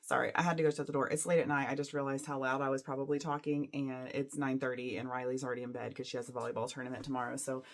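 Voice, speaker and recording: A woman with a raspy voice from a cold, chatting casually to her camera at home while doing laundry, rambling and laughing often. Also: a bass voice, level tone, very fast, few pauses. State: sick and tired. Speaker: vlogger and teacher. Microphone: camera some distance away.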